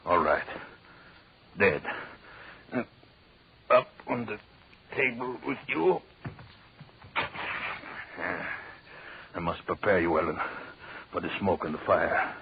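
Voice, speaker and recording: On a muffled old radio broadcast, a deranged, vengeful killer with a very low voice. He speaks slowly and broodingly, gloating as if over a victim in this horror play. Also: animated, creaky, slight background noise, full character voice. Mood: menacing, gloating. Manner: slow brooding murmur breaking into frantic commands.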